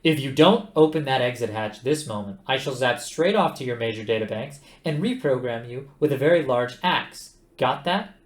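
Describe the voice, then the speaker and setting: A man voicing a brash, impatient male space captain with a loud, offhand manner. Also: mid-range voice, a little fast, lightly acted. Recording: roomy echo.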